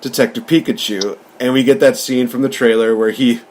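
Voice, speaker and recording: A man's voice: medium pitch, steady tone, a little fast, darkish tone. A man hosting a casual movie review, rambling through it with enthusiasm. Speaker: podcast host and film reviewer.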